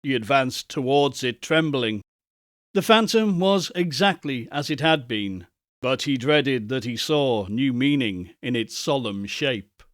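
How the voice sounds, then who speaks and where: A man narrating a Victorian ghost story in a measured, theatrical reading. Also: mid-range voice, lively intonation, no echo, clean studio sound. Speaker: narrator, audiobook reader.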